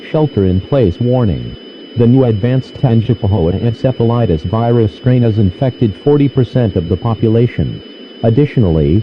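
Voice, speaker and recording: A man's stiff, synthetic voice reading a formal scripted emergency announcement over a lo-fi, noisy radio broadcast. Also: on the low side. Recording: dull lo-fi radio with clear noise.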